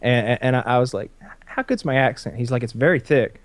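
A man's voice: mid-range voice, a little fast, dry recording. A man in his thirties in casual chat, rambling with frequent restarts and swearing. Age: 30s.

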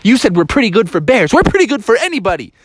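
A man's voice: very high voice, moderate pace, no echo, pitched above the performer's own voice. A man voicing cocky, touchy bear kids with a slangy, boastful manner in a children's story. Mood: indignant.

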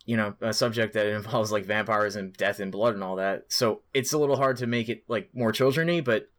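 A man's voice: on the low side, fairly quick. A young man co-hosting a casual podcast, talking informally with some crude joking. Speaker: podcast co-host. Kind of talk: casual chat.

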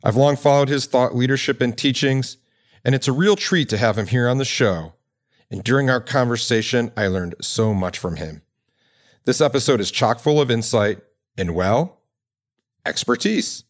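A man's voice: medium pitch, animated, normal speed. A man hosting a podcast, reading a guest introduction and then asking questions with some restarts. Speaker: podcast host.

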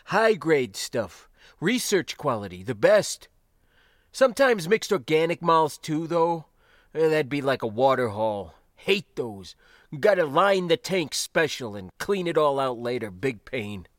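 A man's voice: fairly high pitch, dry recording, clean studio sound, pitched above the performer's own voice. A man voicing a proud old spacer cargo hand in an audiobook story, with folksy, clipped, rambling old-timer talk. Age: older.

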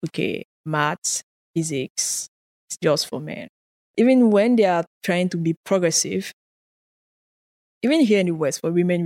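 A woman's voice: fairly low pitch, dark tone. Woman, earnest. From Cameroon. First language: French. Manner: earnest storytelling, occasional laughs and repeated words. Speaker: guest research scientist. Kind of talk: conversational interview answers.

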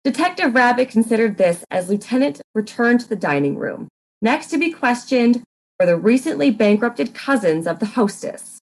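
A woman's voice: fairly high pitch, very animated, normal speed, poor audio. A woman narrator reading a scripted story.

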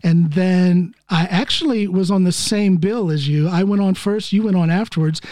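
A man's voice: high voice, moderate pace, darkish tone, no room sound, clean studio sound. A man hosting a podcast interview, chatty and self-deprecating, laughing often. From United States. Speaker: podcast host. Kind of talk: casual conversational interview.

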